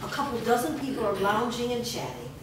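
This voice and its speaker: A woman's voice: fairly high pitch, big pitch swings, a little fast. A woman reading scripted stage directions aloud as narrator of a staged reading. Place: large echoey room, recorded from afar. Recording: echoey room, distant mic, noisy.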